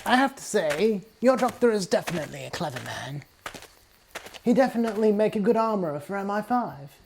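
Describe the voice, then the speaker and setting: A woman playing a suave British spy in a fan audio drama, cool and measured in manner. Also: medium pitch, very animated, creaky, a little mellow, a little hiss or hum. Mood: wry, impressed.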